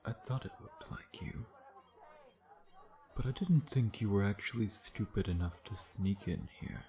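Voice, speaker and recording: A man's voice: fairly low pitch, unhurried, mellow. A man playing a suave, predatory vampire in an intimate ASMR roleplay, taunting in a sardonic, flirtatious way with murmured asides. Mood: teasing menace. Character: suave, teasing, predatory male vampire, playfully menacing.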